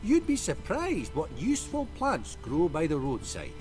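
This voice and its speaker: An actor playing a chatty, kindly old village herbalist in a video game, with a folksy sing-song delivery. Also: male voice, fairly high pitch, wildly swooping pitch, normal speed, music in the background. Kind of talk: video game character acting.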